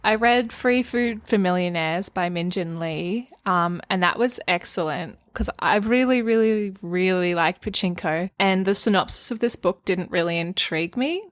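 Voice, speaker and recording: A woman's voice: fairly low pitch, normal speed, hardly any pauses. A woman co-hosting a book podcast, chatting casually in a giggly, talkative way.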